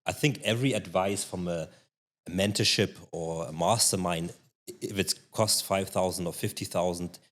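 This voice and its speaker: Deep-voiced male interviewer. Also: normal speed. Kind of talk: casual interview chat.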